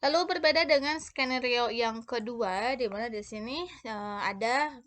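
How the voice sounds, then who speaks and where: Higher-pitched woman explaining, halting. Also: a bit bright. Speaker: accounting lecturer. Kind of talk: explanatory lecture walking through worked examples.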